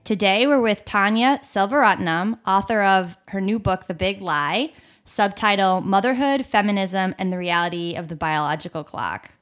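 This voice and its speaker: A woman hosts a podcast interview in a conversational, earnest way, with "I mean" sprinkled through her sentences. Her voice sits at an ordinary middle pitch. The sound is dry and close. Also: normal speed, a bit bright, no echo, soft background music. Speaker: interviewer and host.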